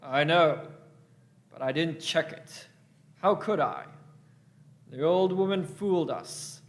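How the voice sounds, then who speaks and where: A scheming politician acted by a man in a sci-fi radio play. The voice sits a little high and argues emphatically, always defensive and self-justifying. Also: slow pace. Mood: desperate, blaming. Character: scheming, defensive male politician turned desperate ally.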